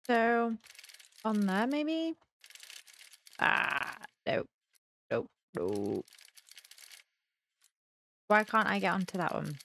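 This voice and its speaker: A woman's voice: mid-range voice, huge pitch swings, very slow, gravelly, pauses often, fairly bright tone, a little hiss or hum. A young adult woman giving casual let's-play commentary, thinking aloud in a playful, self-deprecating mutter. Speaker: gaming video host.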